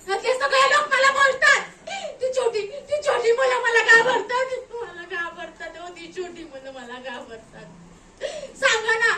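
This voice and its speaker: High-voiced, anguished young woman on stage. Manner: melodramatic, tearful, repeating phrases in anguish. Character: anguished young woman, acid attack survivor, defiant. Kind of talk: theatrical one-act stage monologue. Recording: echoey room, distant mic, noisy.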